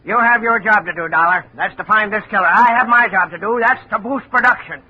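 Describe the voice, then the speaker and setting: An actor playing a brusque plant manager in a 1950s radio drama, curt and defensive. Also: male voice, high voice, a little fast, breathy edge. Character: brusque male plant manager pushing production. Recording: dull 1950 radio broadcast audio.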